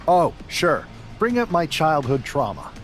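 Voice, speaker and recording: An actor playing a cocky, stubborn young pro wrestler, confident and wry, in a video game cutscene. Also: male voice, fairly high pitch, huge pitch swings, a little slow, soft background music. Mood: wry, defensive.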